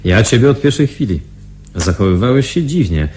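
A man's voice: mid-range voice, very animated, moderate pace, a little mellow, a little hiss or hum, close to an everyday voice. An actor in a radio drama playing an observant, puzzled male intelligence agent, musing and questioning as he speaks. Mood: probing, amused.

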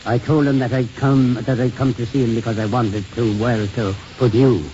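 An actor playing a stubborn, sulky elderly Irish priest in 1950s radio style, halting and repeating his words. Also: mid-range voice, little pitch movement, dark tone, close to an everyday voice. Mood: stiff, wounded. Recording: muffled, noisy 1950s radio broadcast.

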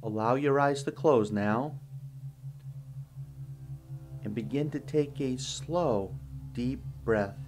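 A man narrating a guided meditation in a deliberate, instructive and soothing manner. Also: medium pitch.

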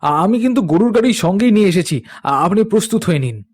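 A man voicing an eager, anxious younger man in a cartoon ghost story, respectful, stammering and hurried. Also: high-pitched, lively intonation, a little mellow, slightly rough, no echo, studio quality, pitched above the performer's own voice. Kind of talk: animated ghost-story character acting.

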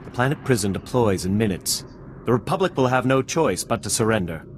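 An actor playing a cold, dutiful Sith villain in a video game, speaking with confidence. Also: male voice, fairly low pitch, animated, brisk, noisy background, natural voice. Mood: cold, triumphant. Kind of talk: video game cutscene acting.

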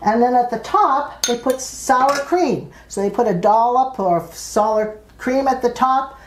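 A woman's voice: on the high side, lively intonation, a little slow, slight background noise. An older woman from Canada, an author and host, reading aloud and presenting a home cooking demonstration. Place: indoors at a dining table in a home.

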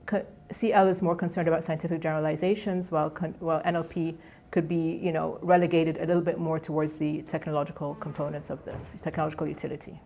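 Low, gravelly-voiced woman giving a lecture. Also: a little mellow. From Egypt. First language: Egyptian Arabic. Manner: conversational, with frequent restarts and fillers. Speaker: guest lecturer. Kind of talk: academic colloquium talk. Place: lecture hall.

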